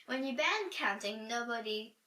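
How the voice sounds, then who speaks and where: A woman's voice: a little high, lively intonation, normal speed, sharp tone. A woman voicing a clever, eager little princess in a children's storybook, precocious and confident. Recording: roomy echo, distant from mic.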